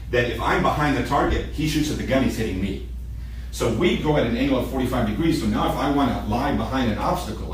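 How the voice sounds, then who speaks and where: A man from Israel, a native Hebrew speaker, lecturing a class as a military shooting instructor, emphatic and repeating key points in short sentences. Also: medium pitch. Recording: echoey room, distant mic, background noise.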